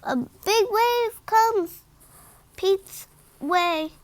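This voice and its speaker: A woman reading a children's picture book aloud in an expressive, deliberate manner. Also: very high-pitched, no echo. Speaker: read-aloud storyteller.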